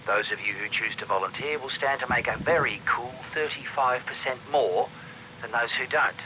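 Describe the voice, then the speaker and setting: An actor playing a cocky, sardonic mercenary commander giving a blunt briefing in a video game audio log. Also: male voice, medium pitch, normal speed, noisy background, close to an everyday voice. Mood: sardonic, scheming.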